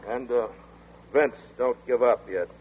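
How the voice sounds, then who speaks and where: A man's voice: mid-range voice, measured pace, slight background noise, natural voice. A man voicing a tough, weary detective friend who talks in a blunt, matter-of-fact way, in 1940s radio drama. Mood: gruff. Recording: tinny old radio broadcast.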